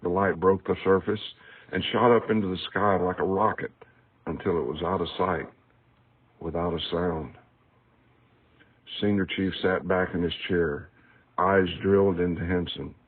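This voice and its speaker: A man's voice: sits low, fairly even intonation, a little slow, long pauses, husky. A man reading aloud from his own book in a scripted audiobook narration style, recorded with a tinny, narrow sound. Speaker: author reading a book excerpt. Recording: tinny, phone-like narrow band.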